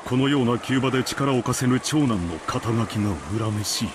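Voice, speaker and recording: An actor playing a stern eldest son who heads the family, speaking formally and solemnly. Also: male voice, medium pitch, rapid-fire, background noise, natural voice.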